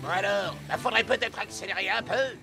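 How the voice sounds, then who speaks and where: A man's voice: high-pitched, a little fast, gravelly, music in the background. A man voicing an impatient car in a cartoon, grumbling.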